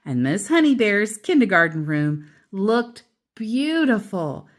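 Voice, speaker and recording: Lady reading a kids book out loud, real expressive and unhurried, emphasizes words for little listeners. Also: medium pitch. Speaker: storyteller reading a children's book. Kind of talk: expressive read-aloud narration with casual asides.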